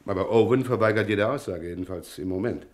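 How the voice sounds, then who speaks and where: An actor playing a methodical, matter-of-fact police detective, speaking in a businesslike, explanatory way in a German radio crime drama. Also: male voice, fairly low pitch, quick pace, lightly acted.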